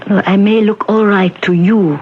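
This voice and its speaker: A woman's voice: medium pitch, fairly even intonation, normal speed, close to an everyday voice. An actress in a radio drama playing a plainspoken, blunt, world-weary woman who has survived great suffering. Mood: weary, guarded. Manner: blunt, terse. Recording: dull, noisy old radio recording.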